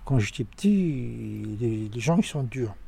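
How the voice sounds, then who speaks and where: A man in his sixties with a Tunisian accent, telling stories about his childhood in a halting, animated way. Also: vocal fry, slightly rough. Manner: animated, halting, with sudden pitch jumps. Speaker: interviewee. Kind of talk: personal storytelling.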